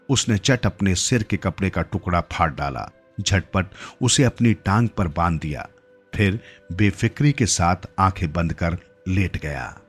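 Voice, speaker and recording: A man narrating a scripted literary story as a storyteller. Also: low-pitched.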